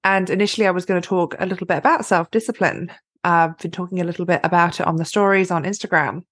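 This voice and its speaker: A woman hosting her own podcast, a life coach giving a chatty, upbeat solo pep talk with a slightly husky, raspy voice. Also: fairly low pitch, steady tone, quick pace, dry recording.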